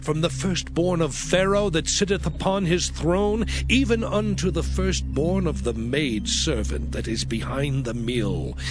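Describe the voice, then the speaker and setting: An actor playing Moses, an earnest prophet, delivering slow, impassioned speeches. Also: male voice, fairly high pitch. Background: music.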